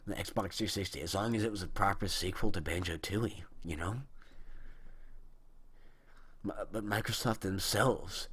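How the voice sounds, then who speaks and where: A young man in his early twenties delivers a rambling, indignant rant, stumbling and restarting as he goes. His voice sits low and comes out husky, and his throat sounds dry and worn. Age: young adult. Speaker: video host. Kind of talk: opinion monologue on video games.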